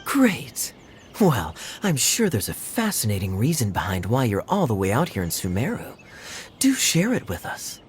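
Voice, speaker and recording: A woman's voice: very deep voice, wildly swooping pitch, moderate pace, a little hiss or hum, full character voice. An actress playing a grandiose, theatrical young woman who speaks in dramatic, old-fashioned phrasing, in a game. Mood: mock-polite. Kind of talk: game voice acting.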